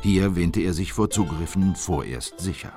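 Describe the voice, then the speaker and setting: Indignant official, radio drama narration, deep, grave, music behind. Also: male voice, animated, moderate pace. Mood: grave indictment. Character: grave, indignant male government insider narrating events. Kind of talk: scripted narration in a radio play. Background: prominent music.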